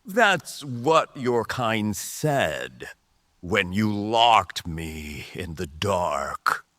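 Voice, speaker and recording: A man playing a fatherly but menacing rebel mage leader in a dramatic audio drama, speaking slowly and emphatically. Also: on the low side, sing-song, a bit bright, dry recording, full character voice. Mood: bitter, intense. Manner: slow, deliberate, emphatic delivery.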